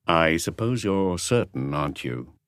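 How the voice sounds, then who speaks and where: A man voicing a male chief inspector in an audiobook, terse and deliberate as he puts probing questions. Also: sits low, expressive, a bit bright, smooth, no room sound, clean studio sound, voice pushed down. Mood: quiet, probing. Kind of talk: audiobook character dialogue.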